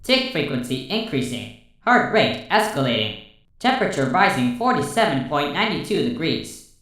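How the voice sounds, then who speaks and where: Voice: medium pitch, very animated, normal speed, fairly bright tone, recorded from a distance. A performer voicing a playful young female robot pony assistant with a synthetic, echoing voice, in an audio story. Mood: scanning, mechanical. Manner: clipped machine-like status reports mixed with teasing. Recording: echoing processed sound.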